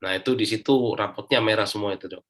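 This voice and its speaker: A man with a fairly low voice and an Indonesian accent speaks as an interview guest. He gives his own account casually, explaining it step by step in colloquial speech. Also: fast talker, a bit bright. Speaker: interview guest giving a personal testimonial. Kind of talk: casual spoken personal account.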